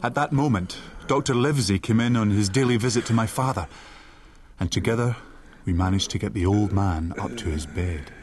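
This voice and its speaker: An actor playing a man who recounts his boyhood, narrating a dramatised audiobook in a sombre, measured way. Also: lowish voice, darkish tone, lightly acted. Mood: measured, tense.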